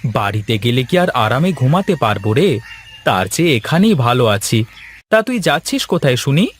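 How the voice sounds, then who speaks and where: A man's voice: mid-range voice, sing-song, moderate pace, breathy edge. A man playing a put-upon village fisherman in a cartoon story, folksy, theatrical and given to complaining. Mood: weary, friendly. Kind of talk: Bengali cartoon story acting.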